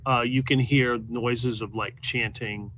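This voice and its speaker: A man working as game master, improvising tabletop narration in a halting, conversational way. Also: mid-range voice, fairly even intonation, bright, forceful tone, a little hiss or hum, rough recording.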